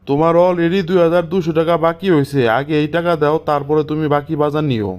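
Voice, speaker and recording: A man's voice: on the high side, quick pace, darkish tone, clear, resonant tone, natural voice. A man voicing a stern, scolding cartoon shopkeeper with a Bangladeshi accent. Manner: scolding, blunt. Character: stern, grumbling male village grocery shopkeeper refusing credit. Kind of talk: cartoon voice acting.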